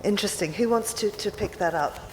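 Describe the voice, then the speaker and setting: A woman moderating a festival panel, brisk and polite as she calls for questions. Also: mid-range voice. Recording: reverberant festival hall on PA, faint noise.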